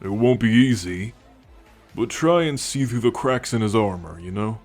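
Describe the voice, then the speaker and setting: A man voicing a friendly, teasing alien soldier in an intimate ASMR roleplay, talking in a gentle, playful, confiding way. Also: lowish voice, expressive, normal speed, soft background music. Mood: sincere, reassuring. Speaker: voice actor in an audio roleplay. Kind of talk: ASMR roleplay, intimate one-sided conversation.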